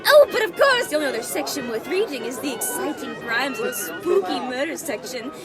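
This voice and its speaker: A woman's voice: high-pitched, wildly swooping pitch, brisk. A woman playing a flighty, self-absorbed young socialite in a radio play, speaking in a theatrical, affected gush. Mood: gushing, airy. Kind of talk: old-time radio play acting. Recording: party crowd and music behind.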